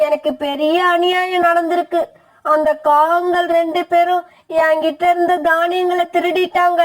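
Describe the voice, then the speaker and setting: A woman voicing an aggrieved elderly female bird in a cartoon moral story, complaining, pleading and indignant. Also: very high voice. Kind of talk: animated moral story dialogue.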